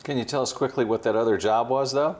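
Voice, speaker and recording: A man interviewing a company chief, asking quick probing follow-up questions. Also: mid-range voice. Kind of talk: business interview.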